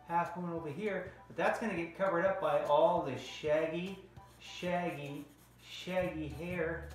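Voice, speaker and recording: A man's voice: high-pitched, a bit bright. A middle-aged American man giving a casual, playful drawing tutorial for kids, chatting as he draws and stretching out some words. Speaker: children's drawing teacher. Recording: very echoey room, faint music.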